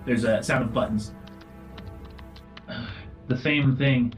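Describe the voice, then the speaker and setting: A man's voice: mid-range voice, slow pace, close to an everyday voice. Nervous, blunt analyst aide played by a man in a tabletop game, dry and stammering, music behind. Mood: uneasy, dry. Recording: music under the voice.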